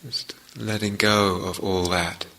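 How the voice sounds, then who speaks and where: A man leading a guided meditation as a teacher, speaking gently and in measured short phrases with long pauses. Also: fairly low pitch, flat delivery.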